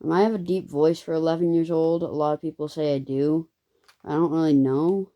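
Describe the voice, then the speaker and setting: A young vlogger telling a rambling story in a casual way, full of ums and self-corrections. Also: male voice, on the high side, fairly even intonation, brisk, dark tone. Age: child.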